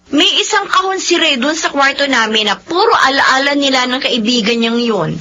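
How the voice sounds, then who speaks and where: A woman's voice: high-pitched, animated, normal speed, pushed and bright. An actress playing a caring, chatty young Filipino woman in a radio drama, playfully insistent. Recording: dull radio broadcast, faint noise.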